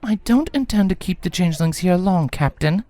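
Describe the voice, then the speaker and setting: A man's voice: sits high, lively intonation, moderate pace, mellow, no echo, voice pushed up. A man voicing a regal, resolute adult female pony princess in a fantasy storybook reading.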